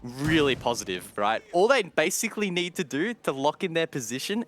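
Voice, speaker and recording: A man's voice: on the high side, very animated, fairly quick, fairly bright tone. A man casting an esports match, rattling off stats and player history in detail. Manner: detailed, stat-heavy, rattles off facts. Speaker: esports caster. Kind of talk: play-by-play commentary.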